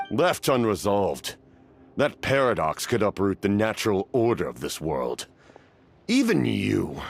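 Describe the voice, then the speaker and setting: Very deep, grave cursed knight. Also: male voice, slight background noise. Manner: grave, formal, declamatory. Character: solemn immortal cursed knight captain, grim and resolute. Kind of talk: dramatic video game voice acting.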